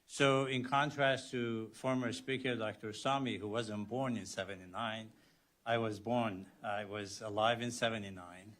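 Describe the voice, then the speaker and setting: A man in his sixties from Iran with an Iranian Azeri-Turkish accent, giving a policy talk as a surgeon guest speaker, halting often with fillers. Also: fairly low pitch, fairly even intonation. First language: Azerbaijani Turkish. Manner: halting, many fillers, rising loudness on key phrases.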